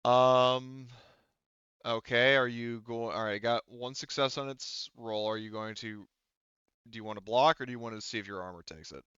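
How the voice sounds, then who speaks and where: A man's voice: mid-range voice, steady tone, moderate pace, a bit bright, smooth, no echo. A man acting as game master in casual tabletop play, informally thinking aloud as he works through rules on a slightly dull, dry microphone.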